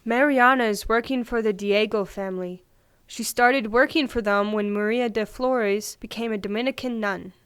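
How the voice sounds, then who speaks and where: A woman acting a polite, eager rememberer in an audio drama. The voice is smooth and clear, fairly high, and closely recorded in a dry space. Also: steady tone, moderate pace, fairly bright tone, dry recording, lightly acted. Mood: polite, reminiscing.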